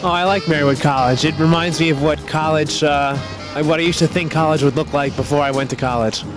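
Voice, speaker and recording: A man's voice: on the high side, fairly even intonation, fast, music in the background. A man chatting casually on a road trip, making dry, wry remarks. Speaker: co-host. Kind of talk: casual chat.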